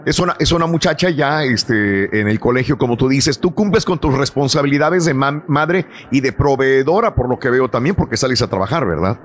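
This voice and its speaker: A middle-aged man hosting a radio call-in show, who chats with callers in an encouraging way and often fills pauses with "este". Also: medium pitch, animated. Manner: warm and encouraging with callers, frequent "este" fillers. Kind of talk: morning radio call-in chat. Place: radio studio.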